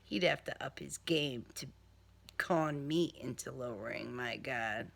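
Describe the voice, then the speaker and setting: A woman narrating a first-person crime story in a deliberate, dramatic, sardonic manner. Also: rumbling low, gravelly. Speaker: author reading own crime novel.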